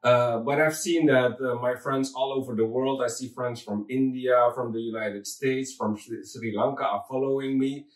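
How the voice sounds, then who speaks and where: A man's voice: medium pitch, steady tone, normal speed, few pauses, roomy sound, far from the mic, rough recording. A man with a Dutch accent, Dutch his first language, hosting a home cooking demonstration in a kitchen, friendly and hesitant with many fillers and restarts. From Suriname. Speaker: cooking channel host.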